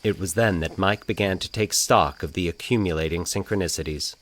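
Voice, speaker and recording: A man narrating scripted eerie fiction in a measured, deliberate, formal storytelling manner. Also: low voice, a bit bright.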